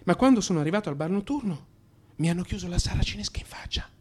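A man's voice: sits high, expressive, fast, a little mellow. A man narrating a theatrical first-person radio drama monologue, dramatic and changeable in his delivery.

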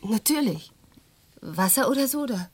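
An actress playing a gentle woman who speaks softly and caringly with a husky voice in a radio play. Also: a little high, lively intonation, a little slow. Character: lover of the husband, woman, gentle.

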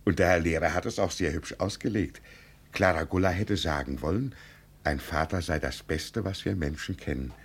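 A man acting a proud, doting poor village father in a 1950 radio drama, husky and earnestly boastful. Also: low-pitched, normal speed. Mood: fond, reminiscing. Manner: earnest, boastful about his child.

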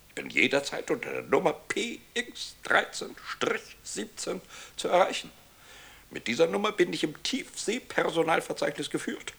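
An actor playing a stern, stubborn navy captain in a radio play, lurching between muttering and barking. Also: male voice, a little high, gravelly, fairly bright tone, heavily acted. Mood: emphatic. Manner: erratic swings from muttering to barking. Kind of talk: German radio play acting.

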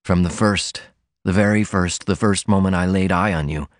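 A man's voice: sits low, fairly even intonation, moderate pace, dry recording, clean studio sound, lightly acted. A man playing an ardent young man madly in love, earnest and fervent, in audiobook dialogue.

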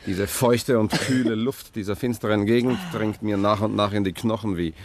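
An actor playing a wry, inquisitive journalist turned amateur sleuth in a 1960s German radio crime drama. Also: male voice, on the low side, fairly even intonation, moderate pace, natural voice. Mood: wry banter. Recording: 1960s radio recording, clearly noisy.

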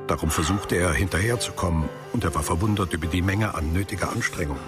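A man narrates a literary radio drama in a very low voice. The delivery is scripted storytelling. Music runs clearly underneath. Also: fast talker.

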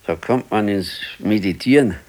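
A man's voice: lowish voice, moderate pace, a little mellow, close to an everyday voice. A man playing an ailing, stubborn, brooding old husband in a radio play, laconic and weary, with a southern German, Bavarian accent. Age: older. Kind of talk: naturalistic radio play acting.

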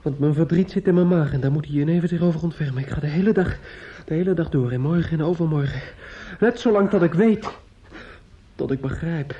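A man acting in a Dutch radio play as a grieving, bitter young medical student who drinks, speaking in halting, repetitive rambles. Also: a little high, warm tone, slightly rough. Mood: bitter, tipsy. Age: young adult. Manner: halting, rambling, repeats himself. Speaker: radio drama actor. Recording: muffled old radio play recording.

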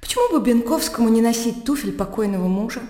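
A woman's voice: fairly high pitch, lively intonation, over music, natural voice. Actress as a self-assured lady, echoey. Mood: businesslike. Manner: brisk, businesslike, assured. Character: practical, self-assured, calculating widowed lady of an estate. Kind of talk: radio drama acting. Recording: echoey room with long reverb.

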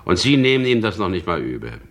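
A probing chief inspector in a radio crime play. His low voice moves expressively up and down as he needles and presses, always in control. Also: big pitch swings, quick pace. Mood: insistent, needling. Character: probing, persistent male police chief inspector. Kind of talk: German radio crime drama acting.

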